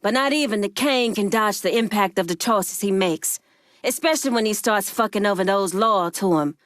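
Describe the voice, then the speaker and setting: A woman playing a tough, proud crime boss in a video game, with an American accent and a measured, pointed, streetwise delivery. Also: mid-range voice, a bit bright, dry recording, studio quality, close to an everyday voice. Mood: cool, skeptical. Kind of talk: video game character dialogue.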